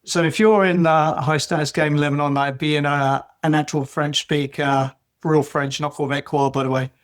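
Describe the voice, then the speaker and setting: A man with a moderately high voice co-hosts a casual podcast. He talks in a blunt, colloquial way. He often rounds off his points with short tag questions. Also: fairly even intonation, moderate pace. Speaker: podcast co-host. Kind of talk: casual conversational podcast chat.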